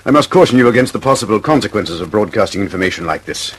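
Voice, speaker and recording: An actor playing a brusque, impatient, powerful British official in a radio drama, with a husky voice and a barking, commanding manner. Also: male voice, lowish voice, expressive, fast talker, a little hiss or hum, lightly acted. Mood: grave. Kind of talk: mid-century radio drama acting.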